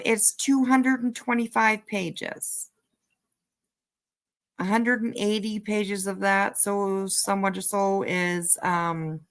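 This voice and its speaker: A woman's voice: medium pitch, fairly bright tone. A woman hosting a casual livestream commentary, talking bluntly and emphatically, repeating phrases for emphasis and swearing casually. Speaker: livestream host.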